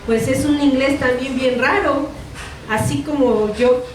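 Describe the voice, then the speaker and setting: A woman's voice: high-pitched, steady tone, unhurried. A woman from Mexico with a Mexican Spanish accent teaching a Bible class in an earnest tone, repeating phrases and correcting herself. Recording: echoey room, distant mic, noisy.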